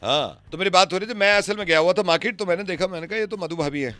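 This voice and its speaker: A man's voice: sits high, rapid-fire, pushed and bright, no echo. A man who hosts prank calls, talking casually and arguing his point insistently. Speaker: prank call radio host. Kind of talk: prank call acting, casual chat.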